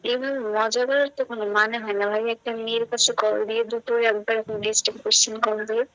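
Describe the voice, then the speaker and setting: A Bangladeshi woman speaks casually over the phone in a somewhat high, forceful voice. She sounds guarded and keeps questioning the other person. The call audio is dull and lo-fi. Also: flat delivery, a little fast. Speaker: call recipient.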